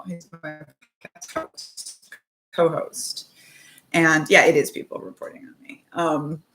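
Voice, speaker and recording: A woman's voice: fairly low pitch, bright, forceful tone. A young woman chatting casually on a livestream while knitting, rambling and laughing often. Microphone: phone mic with earbuds.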